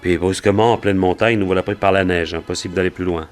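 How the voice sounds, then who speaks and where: Casting: man playing a low-voiced cowboy gold-hunter, wary and colloquial storyteller, for a radio detective play with music underneath. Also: fairly even intonation, fast talker, natural voice. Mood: recounting earnestly. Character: male cowboy and gold prospector, plain-spoken, wary, recounting his story. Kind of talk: French radio detective drama acting.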